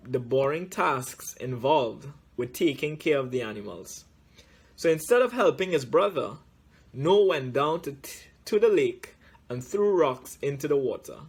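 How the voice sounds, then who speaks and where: A man reading a children's story aloud in a deliberate, measured way, with frequent pauses. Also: a little high, breathy edge. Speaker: guest story reader.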